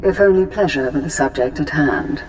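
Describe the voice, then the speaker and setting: An actress playing a commanding, seductive Sith lord in a video game, speaking in a measured, imperious way. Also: female voice, low-pitched, a little hiss or hum, close to an everyday voice, rough recording. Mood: cool command. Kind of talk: dramatic video game acting. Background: background music.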